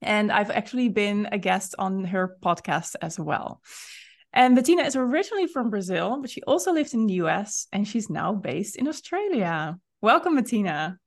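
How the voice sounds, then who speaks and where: A woman's voice: medium pitch, lively intonation, normal speed. The woman you would hear hosting an interview podcast, chatting with a guest who has called in from elsewhere. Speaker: podcast host. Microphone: remote call.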